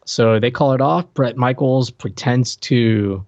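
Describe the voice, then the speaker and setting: A man's voice: medium pitch, takes it slowly, darkish tone. A man co-hosting a podcast in loose, unscripted pop-culture chat with a joking streak. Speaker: podcast co-host.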